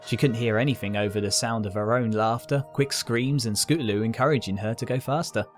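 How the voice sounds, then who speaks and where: Male narrator, mid-range pitch, scripted storytelling, soft music underneath. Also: steady tone, a little fast.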